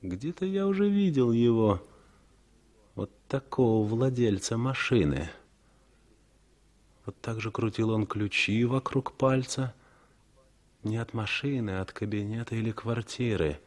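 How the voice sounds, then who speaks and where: A man's voice: medium pitch, a little mellow, natural voice. An actor in a 1960s Soviet radio play as a gloomy young man, reflective, in an inner monologue. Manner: reflective, deliberate.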